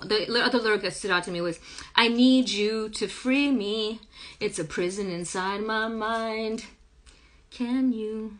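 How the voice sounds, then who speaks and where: Hoarse chatty woman, bright voice. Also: mid-range voice. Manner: chatty, rambling, hums song lyrics. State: hoarse, croaky voice. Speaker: spiritual reader. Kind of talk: casual live-stream reading. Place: small wood-paneled room, indoors. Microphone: phone camera at arm's length.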